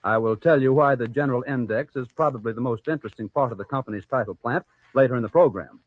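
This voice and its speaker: A man with an American accent reading a sponsor message as announcer, formal and measured, in 1940s radio style. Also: mid-range voice, dry recording. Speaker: program announcer and sponsor spokesman. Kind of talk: 1940s radio scripted commercial narration. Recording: tinny, dry vintage radio recording.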